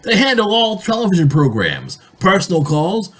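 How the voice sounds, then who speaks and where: A man acts out an excitable radio-drama boss with a high, husky voice. He is bossy and blustering, sputtering and emphatic, and his pitch swings wildly. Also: moderate pace, heavily acted. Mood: dismissive, blustering. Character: blustering, excitable male company boss, in denial then panicking.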